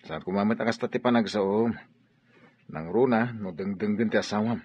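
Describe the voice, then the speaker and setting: A man playing a blunt, nosy godfather who scolds and advises in a radio drama, with a husky voice. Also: lowish voice, normal speed, darkish tone, highs cut off. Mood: chiding, concerned. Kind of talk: Filipino radio drama acting.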